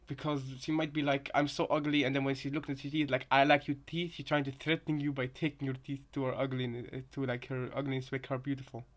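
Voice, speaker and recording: A man giving casual reaction commentary on game voice lines, rambling and halting, often repeating his phrases. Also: mid-range voice, flat delivery. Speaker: reaction video host.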